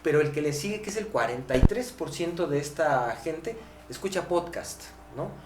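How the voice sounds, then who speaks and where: Mexican male podcast host, slightly high voice, halting conversational explainer, frequent question tags, roomy echo. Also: lively intonation. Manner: halting, often tags phrases with "¿no?". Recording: echoey room, clear background noise, hum.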